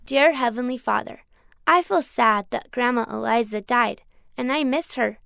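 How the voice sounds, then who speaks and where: A woman reading a storybook, voicing a gentle, sad little girl in a soft, childlike way. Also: fairly high pitch, moderate pace, gravelly, no echo, clean studio sound, voice pushed up. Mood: prayerful.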